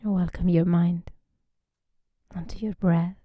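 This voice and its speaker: A woman yoga teacher leading a guided relaxation meditation in a gentle, unhurried way, often softening into a breathy near-whisper. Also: on the low side, steady tone, no echo, narrow, tinny audio.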